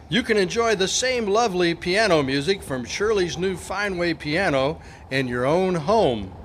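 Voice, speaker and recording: A man with a high voice works as announcer for an amateur old-time radio play. He takes the lines slowly, in a sponsor-read style. Faint noise from a live room sits behind him. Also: a bit bright. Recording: live club meeting, background noise.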